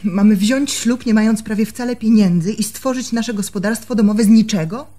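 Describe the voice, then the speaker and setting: An actress in a radio play as a gentle, devoted young fiancée with a pleading way of speaking. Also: female voice, mid-range voice, normal speed, natural voice. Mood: anxious, pleading. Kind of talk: radio theatre acting.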